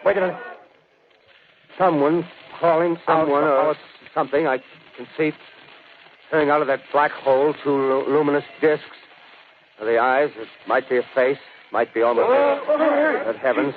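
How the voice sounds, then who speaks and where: An actor with an American accent playing a horrified 1930s radio field reporter, halting and awestruck, in an old radio drama. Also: male voice, on the high side, lively intonation. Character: horrified male radio field reporter witnessing something monstrous. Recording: very tinny, noisy 1930s radio recording.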